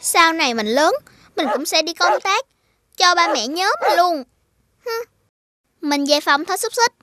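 A woman's voice: very high voice, expressive, unhurried, heavy background noise. A woman voicing an eager, talkative young boy in a cartoon. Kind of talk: cartoon voice acting.

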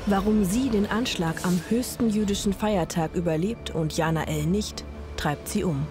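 A woman giving scripted documentary narration in an even, sober way. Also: mid-range voice, normal speed. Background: music.

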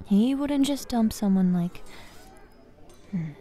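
Girl doing a bossy young guild master lady for fantasy asmr, slow talking, super mellow and soft, commanding, music behind. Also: on the high side, animated. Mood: stern. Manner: soft-spoken, commanding, frequent sighs and pauses. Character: bossy young woman fighters guild master, gruff but caring. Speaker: ASMR roleplay performer.

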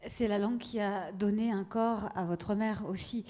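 Woman, moderator, soft-spoken, hesitant prompts, mellow tone, library stage. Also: mid-range voice, steady tone, normal speed. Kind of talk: public conversation on stage. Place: stage in a library hall, seated in armchair.

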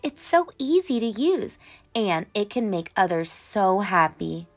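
A young adult woman narrating a picture book for children in an animated, expressive and carefully enunciated way. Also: medium pitch, a little slow, soft background music. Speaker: children's read-aloud storyteller.

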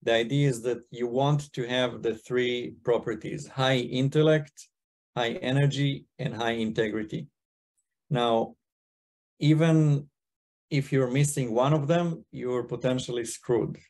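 A man's voice: mid-range voice, steady tone, measured pace, a little mellow. A man in his thirties from Israel and China, presenting to a video call in a loose, restarting way. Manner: casual, thinking aloud with frequent restarts. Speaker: guest speaker, investor. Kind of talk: webinar presentation. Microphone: computer mic on video call.

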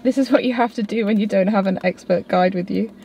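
A woman's voice: medium pitch, quick pace, mellow, highs cut off, soft background music. A woman from England in her thirties giving casual vlog commentary with lightly wry narration. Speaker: sailing vlogger.

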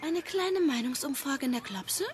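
An actress playing a curious, cheeky journalist in naturalistic radio-play dialogue, conversational in manner. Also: female voice, high-pitched, expressive, normal speed, noisy background. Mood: teasing, matter-of-fact.

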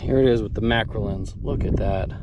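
A man's voice: fairly low pitch, fairly quick, heavy background noise. A man vlogging outdoors, enthusiastically showing fossils and cut rocks to his viewers in casual show-and-tell narration. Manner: enthusiastic, talks to viewers while handling rocks. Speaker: rockhounding vlogger. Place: outdoors at a mine site and a backyard. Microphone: action camera mic.

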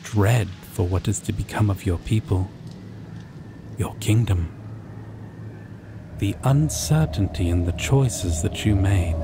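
Slow, low-voiced man narrating over loud noise. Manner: deliberate, with dramatic pauses. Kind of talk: scripted second-person story narration, ASMR roleplay. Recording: loud steady background noise.

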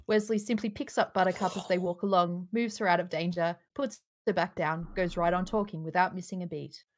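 A woman's voice: fairly low pitch, fairly even intonation, a little fast. A woman reading stage directions aloud as narrator in a live script table read over a video call.

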